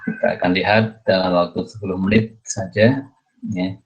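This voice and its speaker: A deep-voiced man explains material like a lecturer. He moves haltingly, repeating himself and tagging phrases with small checks. The audio is muffled and lo-fi. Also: fairly even intonation, soft background music. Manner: halting, repetitive, punctuates phrases with a checking tag. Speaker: accounting lecturer.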